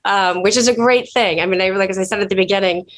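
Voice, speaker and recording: A woman's voice: mid-range voice, fast talker. A woman giving informal, effusive and encouraging thank-you remarks as an event host on an online video call. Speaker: organization leader hosting an online event.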